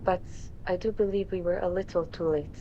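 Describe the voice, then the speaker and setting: A woman playing a cool, pragmatic, formal companion in a podcast drama, speaking in a measured way. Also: steady tone, natural voice. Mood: grave. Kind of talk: dramatized narrative podcast acting. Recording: dull muffled audio with rumbling noise.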